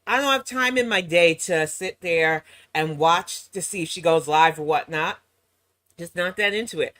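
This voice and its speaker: Sassy slightly hoarse woman streamer. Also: lowish voice, normal speed, a bit bright, dry recording. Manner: sassy, mocking asides. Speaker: reaction channel host. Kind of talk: casual live-stream chat.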